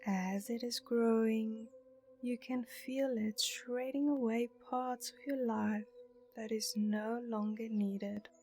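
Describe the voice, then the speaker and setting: Slow, mellow woman guiding a meditation. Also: a little high, fairly even intonation. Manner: soothing, hushed, breathy phrase endings. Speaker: guided meditation narrator. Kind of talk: guided meditation, scripted narration. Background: meditation music.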